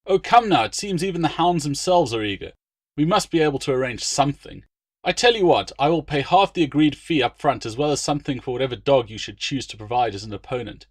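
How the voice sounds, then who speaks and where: A man's voice: mid-range voice, animated, quick pace, no echo, pitched above the performer's own voice, lightly acted. A man voicing a bluff, overbearing Roman legate in an audiobook, with a hearty, pompous, blustering delivery. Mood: jovial, eager. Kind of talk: audiobook character dialogue.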